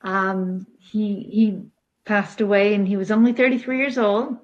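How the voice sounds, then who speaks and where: A woman's voice: medium pitch, a little slow, muffled recording. A woman from Ontario, Canada, co-hosting, introducing herself and asking questions in an upbeat manner. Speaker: co-host.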